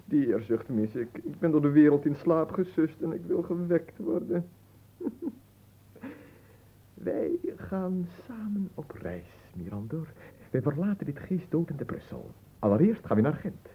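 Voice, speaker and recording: Young spoiled aristocrat, very mellow husky voice, swooping theatrical intonation, melodramatic, radio play. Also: male voice, on the high side, sing-song, measured pace, heavily acted, low-quality recording. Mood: dreamy, grandiose. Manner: languid, melodramatic, pompous. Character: young bored, spoiled, whimsical romantic Belgian nobleman. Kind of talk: theatrical radio drama acting.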